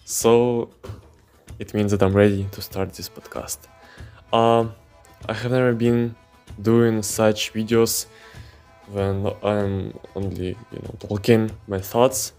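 Slow-talking man, halting English. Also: fairly low pitch, fairly even intonation, darkish tone, faint music behind. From Ukraine. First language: Russian. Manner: halting non-native English with many fillers. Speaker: fitness channel host. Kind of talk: casual podcast monologue. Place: indoors, seated on pillows.

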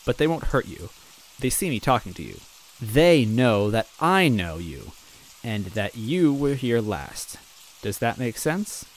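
A man voicing a spunky young female goomba guide, brisk and reassuring. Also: medium pitch, very animated, poor audio.

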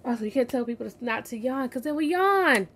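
A woman's voice: fairly high pitch, animated, a little fast, a bit bright, husky. A tired young woman streaming a video game, chatting casually and reading the game's text aloud. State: tired, yawning. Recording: home stream with faint game noise.

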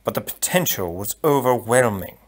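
A man voicing a scheming, deferential count in a storybook, in a measured, deliberate way. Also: medium pitch, animated, lightly acted. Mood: measured, sly. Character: smooth, scheming courtly count, deferential to his prince.

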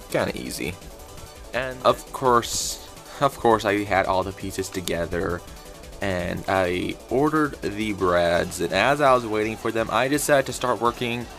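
A man giving a casual craft showcase in an informal, halting, rambling way. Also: sits low, a bit bright. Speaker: craft video creator showing a homemade puppet. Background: background music.

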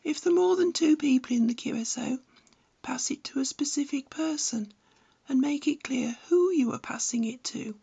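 A woman's voice: high voice, normal speed, vocal fry, dark tone. A woman reading scripted instructional narration in a blunt, didactic manner. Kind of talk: scripted narration, tutorial with opinionated advice.

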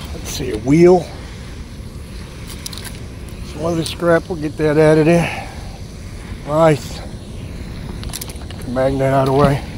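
A man's voice: on the high side, vocal fry. Chatty New man magnet fishing outdoors. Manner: chatty, exclaiming at each find, talking to viewers. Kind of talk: casual running commentary while magnet fishing. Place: outdoors at a city pond edge. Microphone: chest-mounted action camera. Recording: outdoor camera with loud wind and water noise.

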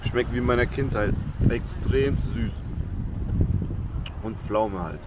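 A young man filming himself at arm's length outdoors by a beach, chatting excitedly and in slang to his viewers as a travel vlogger. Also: mid-range voice, takes it slowly, heavy background noise. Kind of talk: casual travel vlog. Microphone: handheld camera at arm's length.

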